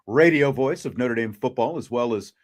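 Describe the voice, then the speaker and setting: A man's voice: medium pitch, normal speed. A man hosting a sports talk show, chatting casually as he introduces and interviews.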